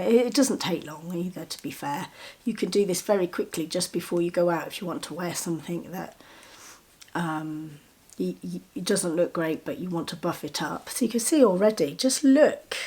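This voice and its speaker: A woman's voice: lowish voice, moderate pace, husky. A woman with a slightly bunged-up voice from a cold, chatting enthusiastically to camera as she shows her gifts. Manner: chatty, enthusiastic, with soft giggles. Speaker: vlogger showing gifts. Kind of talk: casual show-and-tell to camera.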